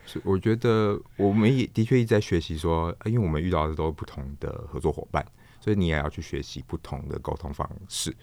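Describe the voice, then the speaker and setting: Male interview guest, Taiwanese accent: very low register, reflective and hesitant, often repeating words, close dry sound. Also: vocal fry, darkish tone, no echo. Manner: halting, thoughtful, searches for words and repeats himself. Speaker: guest, theatre performer, puppeteer and director.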